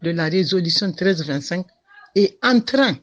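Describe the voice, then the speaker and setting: A woman's voice: fairly low pitch, expressive, measured pace. A woman giving a spoken testimonial about a colleague in an interview. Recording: dull lo-fi sound.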